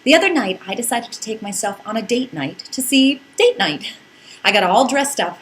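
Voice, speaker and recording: A woman's voice: a little high, animated, normal speed, fairly bright tone, a little hiss or hum. A woman narrating a scripted first-person comic story in a playful, wry, theatrical way with dramatic emphasis. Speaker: podcast narrator reading a blog.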